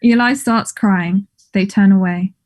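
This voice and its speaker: Woman, medium pitch, mellow tone, scripted narration, stage directions. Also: a little slow.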